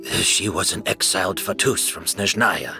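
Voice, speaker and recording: An actor playing a male perfumer recovering from poisoning in a video game, speaking in a measured, solemn way. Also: sits low, music in the background.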